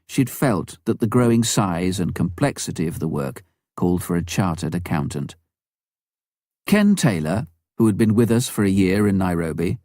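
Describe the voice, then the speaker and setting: Low-pitched man narrating, dry sound. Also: darkish tone, no room sound. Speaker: audiobook narrator. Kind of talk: scripted narration, reading a memoir.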